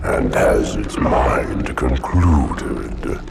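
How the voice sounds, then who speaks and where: An ancient parasitic hive-mind monster from a video game, extremely deep and thick with gravel. It delivers grandiose, brooding pronouncements with slow, portentous weight. Loud music runs behind the voice. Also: male voice, lively intonation, far from the mic, heavily acted. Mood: brooding, commanding. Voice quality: cavernous, gravelly growl. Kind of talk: cinematic video game acting.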